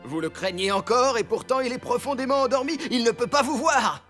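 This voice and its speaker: An actor playing an impulsive son of a biblical patriarch, theatrical and expressive, in a cartoon dub. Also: male voice, very high-pitched, fairly quick, soft background music. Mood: mocking, gleeful. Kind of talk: dubbed biblical cartoon acting.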